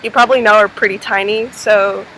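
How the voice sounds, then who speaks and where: A woman's voice: medium pitch, fairly even intonation, a little fast, pushed and bright, slight background noise, poor audio. A sixteen-year-old girl from North Carolina chatting casually as an exchange student vlogger, easygoing and giggly with frequent fillers. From North Carolina, USA. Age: teens. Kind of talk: casual chat.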